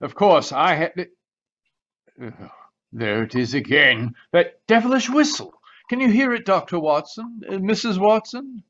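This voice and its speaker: Proud, paranoid retired colonel male actor, radio mystery: highish voice, formal and excitable, dull call-quality sound. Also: unhurried, exaggerated voice. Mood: haunted, frantic. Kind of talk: 1940s radio mystery acting. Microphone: video call. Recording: dull, lo-fi video call audio.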